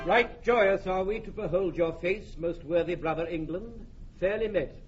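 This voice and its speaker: A man as a high-voiced regal French king. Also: normal speed, close to an everyday voice. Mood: gracious. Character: courteous, joyful King of France, regal. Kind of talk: classic Shakespearean radio acting. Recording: muffled old radio recording over music.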